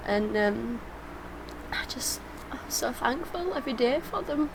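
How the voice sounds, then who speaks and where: A woman's voice: fairly high pitch, expressive, a little slow, background noise. A woman talking casually and personally as a reaction vlogger, heartfelt and rambling, emotional. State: emotional, tearful.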